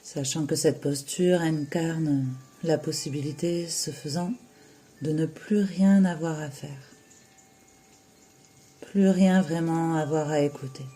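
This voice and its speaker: A yoga nidra teacher guiding a relaxation in a soothing, unhurried way, with long pauses between short phrases. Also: mid-range voice, steady tone, dark tone. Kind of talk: slow guided relaxation meditation.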